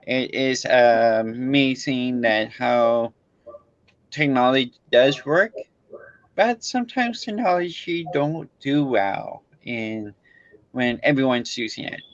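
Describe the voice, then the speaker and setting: Slow-talking male podcast host, dull audio. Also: mid-range voice, no echo. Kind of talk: casual remote interview chat.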